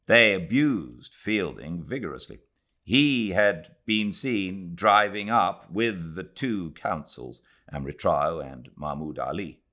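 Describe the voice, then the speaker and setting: A British man narrating a classic novel in a measured, expressive reading voice. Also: deep voice. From Britain. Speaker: audiobook narrator. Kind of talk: scripted literary narration. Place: home, beside a fireplace.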